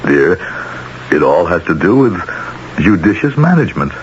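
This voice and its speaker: A muffled, noisy old radio play features a polite hired killer with an untroubled conscience. His low voice is deliberate and theatrical, with wide swings in pitch. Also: very animated. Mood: contented, assured. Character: smooth male hired killer, doting husband, untroubled conscience. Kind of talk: 1970s radio drama acting.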